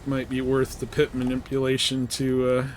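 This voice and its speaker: A man commentates his own live gameplay in a medium-pitched voice. He talks casually, half to himself, in slangy and mildly salty language. A light bed of engine-like game noise runs behind him. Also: monotone, moderate pace. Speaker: game streamer.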